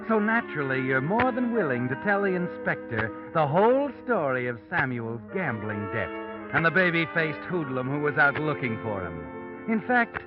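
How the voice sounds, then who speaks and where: A man's voice: fairly high pitch, close to an everyday voice. A man playing a taunting, all-knowing narrator in a 1940s radio mystery, speaking theatrically to a guilty man. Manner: taunting, theatrical second-person address. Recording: dull old radio recording, loud music behind.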